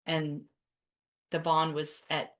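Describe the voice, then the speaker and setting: A woman's voice: sits low, monotone, slow, a bit bright. A woman presiding as judge at a hearing, speaking informally and correcting herself as she goes. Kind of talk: courtroom hearing.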